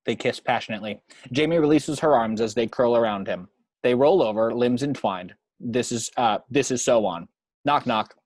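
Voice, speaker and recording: A man's voice: mid-range voice, normal speed, darkish tone, no room sound. Man narrating screenplay action, dry close sound. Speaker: narrator reading the action description.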